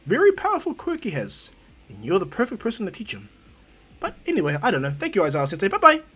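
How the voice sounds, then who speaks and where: A man's voice: high-pitched, huge pitch swings, fast, sharp tone, slightly rough, faint music behind, voice pushed up, full character voice. A man voicing a cheerful, cheeky teenage boy hero in an anime story, eager and polite.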